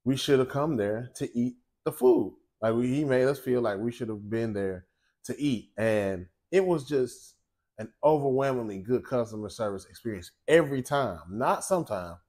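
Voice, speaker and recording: Chatty forties male cook-show host, mid-pitched, talks to camera from his home kitchen. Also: normal speed. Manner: chatty, animated, talks straight to viewers. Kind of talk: casual cooking chat and monologue.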